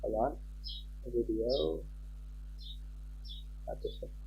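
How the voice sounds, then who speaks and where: A man's voice: mid-range voice, drawn-out words, vocal fry, highs cut off, a little hiss or hum. A man streaming live, chatting casually with viewers and talking to himself as he fiddles with his stream settings.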